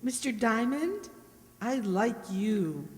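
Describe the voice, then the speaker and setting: A woman's voice: fairly high pitch, very animated, slow pace, a little mellow. A woman playing a prim, fretful and naive schoolteacher with a hesitant, plaintive manner in an old-time radio drama style, in a reverberant recording. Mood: plaintive, coy. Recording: very reverberant room or hall.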